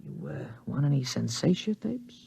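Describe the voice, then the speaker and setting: An actor playing a sly, chummy young hotel bellhop hustling for tips in a 1950s radio drama. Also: male voice, a little high, very animated, normal speed, gravelly, dark tone, breathy edge, exaggerated voice. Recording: muffled 1950s radio recording.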